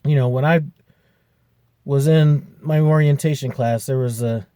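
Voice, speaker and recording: A man's voice: mid-range voice, fairly even intonation, a little slow, mellow, smooth, dry recording, studio quality. A man in his fifties, a truck driver, chatting casually to camera in a rambling way with lots of fillers and restarts. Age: 50s. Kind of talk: casual vlog chat.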